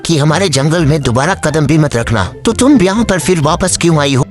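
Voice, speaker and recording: A man's voice: a little high, animated, fairly quick, faint music behind, close to an everyday voice. A man voicing a frank, streetwise, friendly monkey in a children's animal story. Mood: challenging.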